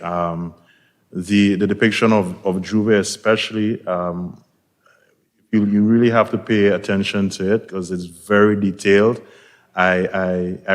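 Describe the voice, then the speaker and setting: A man speaking as an embassy representative at a cultural event, giving halting public remarks and often repeating words. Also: deep voice.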